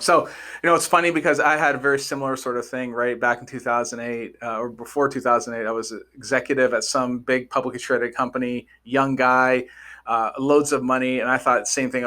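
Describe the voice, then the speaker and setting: Upbeat, bright-voiced male podcast host. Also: mid-range voice. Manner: upbeat, chatty, stumbles and repeats words. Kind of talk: podcast interview hosting.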